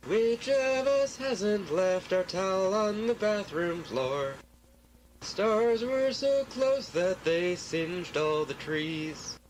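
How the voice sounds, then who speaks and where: A man's voice: high voice, low-quality recording. A man reciting poetry, with some faint noise behind him. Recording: faint background noise, ambience.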